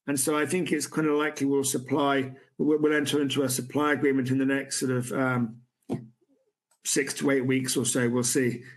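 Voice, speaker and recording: A man's voice: mid-range voice, level tone. A man who runs a company, answering investor questions in a conference Q&A over a video call at night, restarting his sentences often and filling them with "um" and "you know". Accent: non-American. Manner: halting, many restarts and fillers. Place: room with a city view at night.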